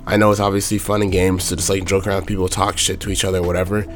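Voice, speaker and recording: Hoarse young man, deep and raspy. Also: racing, soft background music. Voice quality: raspy, monotone. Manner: plain-spoken, repeats his point over and over. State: hoarse from a sore throat. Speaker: host of a motivational talk. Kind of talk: casual motivational monologue.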